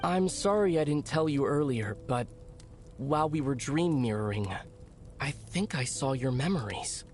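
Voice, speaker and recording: A man voicing a gentle, curious young traveler hero in a video game, with an earnest, soft-spoken delivery. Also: medium pitch, animated, normal speed, faint music behind, lightly acted. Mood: apologetic.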